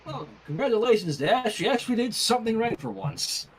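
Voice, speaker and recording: Man playing flustered young woman, slightly husky. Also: extremely high pitch, expressive, brisk, voice pushed up, heavily acted. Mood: grateful. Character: exasperated young woman babysitter, earnest and flustered.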